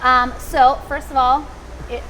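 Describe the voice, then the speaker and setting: A woman with a fairly high voice hosts a casual interview. She is chatty and informal, quick to affirm what she hears. Some outdoor ambient noise sits behind her. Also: level tone, a bit bright, poor audio. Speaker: interview show host.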